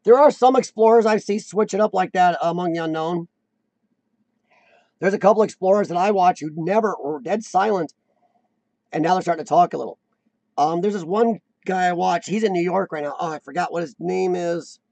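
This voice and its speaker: A man hosts a casual live-stream chat, rambling and self-deprecating as he doubles back on his sentences. His voice sits fairly high with a gravelly rasp, and it is recorded dry and close with no room around it. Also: brisk, darkish tone, dry recording. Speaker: host of a live chat show.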